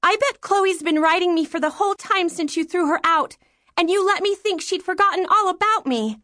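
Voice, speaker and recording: A woman's voice: high-pitched, very animated, slow, a bit bright, no echo, studio quality, voice pushed up, full character voice. A woman voicing a defiant, indignant eleven-year-old girl in an audiobook story, with emphatic outbursts. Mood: angry, indignant.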